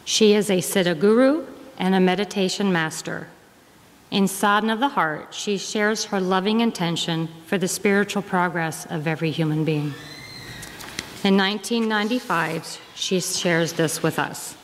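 A middle-aged woman, a guest minister, reading aloud to a congregation at a lectern, measured and deliberate, phrase by phrase. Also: lowish voice, a little hiss or hum. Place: large wood-panelled church hall. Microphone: lectern gooseneck microphone. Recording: reverberant church hall.

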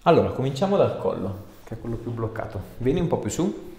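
A man's voice: medium pitch, sing-song, quick pace, creaky, darkish tone. A man in his thirties working as a chiropractor, explaining things to a patient in a casual, reassuring way. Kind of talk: casual consultation with a patient during treatment. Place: small treatment room, indoors. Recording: echoey small room, background noise and clicks.

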